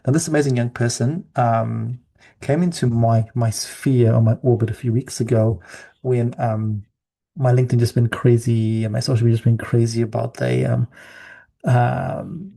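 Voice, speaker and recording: A man's voice: medium pitch, fairly even intonation, normal speed, gravelly, mellow. A man hosting a casual podcast conversation, rambling and repeating phrases for emphasis. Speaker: podcast host.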